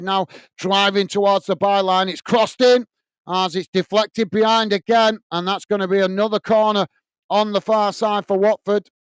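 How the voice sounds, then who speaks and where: A man's voice: sits high, clean tone, dry recording. A man from Bolton with a Lancashire accent hosting a live football watch-along, calling the play and chatting to viewers, often calling them mate between uh fillers. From Bolton, north of England. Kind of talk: live match commentary and chat reading. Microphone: earphones and desk microphone.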